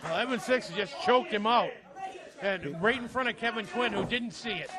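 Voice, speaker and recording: A man calling live wrestling play-by-play, excitable and teasing his fellow commentators between the moves. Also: high-pitched, moderate pace. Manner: excitable, teasing, calls the action. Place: wrestling club. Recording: noisy venue with crowd.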